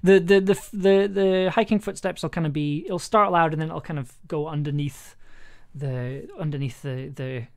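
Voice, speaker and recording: A woman chatting casually on a livestream as she writes aloud, halting and repeating herself as she thinks. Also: low voice, fairly even intonation. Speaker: audio drama writer and live streamer. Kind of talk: casual livestream chat while writing aloud. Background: music.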